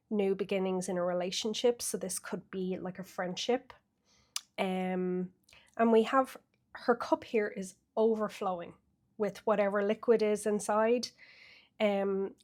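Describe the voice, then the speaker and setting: A woman's voice: mid-range voice, a little mellow. An Irish woman getting over a cold and a bit sniffly, chatting casually through a weekly tarot reading with many fillers. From Ireland. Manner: chatty, thinks aloud with fillers. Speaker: tarot reader.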